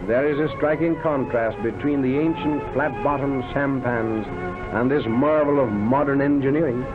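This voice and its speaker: A man's voice: medium pitch, normal speed. A man delivering scripted 1930s newsreel-style documentary narration in a declamatory, florid showman's manner. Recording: tinny band-limited vintage film soundtrack, loud music. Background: loud background music.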